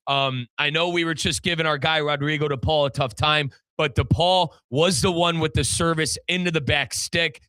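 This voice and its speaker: Bright-voiced American man talking soccer. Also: on the high side, steady tone, normal speed, hardly any pauses, smooth, no room sound. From United States. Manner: informal, chatty, slangy. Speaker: sports podcast host and play-by-play commentator. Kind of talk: casual, animated soccer discussion.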